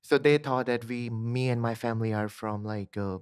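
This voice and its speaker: A man's voice: medium pitch, fairly even intonation, moderate pace, clear, resonant tone, no echo, clean studio sound. A young man from Pakistan with a Pakistani accent, an Urdu speaker, chatting earnestly as a podcast guest. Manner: earnest, repeats affirmations like "yeah, yeah". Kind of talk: casual interview chat.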